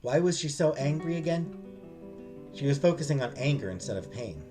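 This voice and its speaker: Animated male narrator over music. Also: a little high, brisk. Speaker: streamer reading a visual novel aloud. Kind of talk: dramatic narration.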